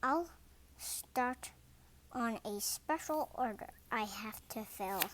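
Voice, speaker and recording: Storybook shop owner, male, acted by a young reader: slow, deliberate, easily riled, scolding. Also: mid-range voice, animated, rough recording. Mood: busy, matter-of-fact. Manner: yelling, scolding. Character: ice cream shop owner man, busy and quick to anger.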